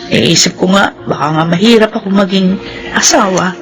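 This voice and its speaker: An actress with a Filipino accent playing a tender, remorseful, devoted wife speaking earnestly in a radio drama. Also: female voice, medium pitch, a little fast, music in the background, lightly acted.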